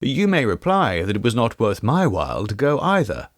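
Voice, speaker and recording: A man voicing a big, sceptical gentleman who talks in an assertive, articulate, explanatory way in an audiobook ghost story. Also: mid-range voice, wildly swooping pitch, moderate pace, no room sound, clean studio sound. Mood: earnest, confiding.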